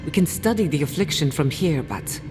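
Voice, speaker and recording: Low-voiced, wry scholarly woman. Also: lively intonation, brisk. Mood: intrigued. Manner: articulate, wry, explanatory. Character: confident scholarly female guide, wry and adventurous. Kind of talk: scripted video game acting. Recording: reverberant hall under loud music.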